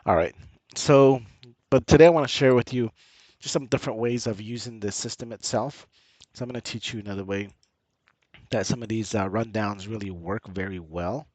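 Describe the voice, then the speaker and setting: A man's voice: lowish voice, normal speed, darkish tone, no echo. A man with a slight cold, narrating a casual screen-share tutorial in a hesitant, repetitive explaining way. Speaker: channel host and tutorial presenter.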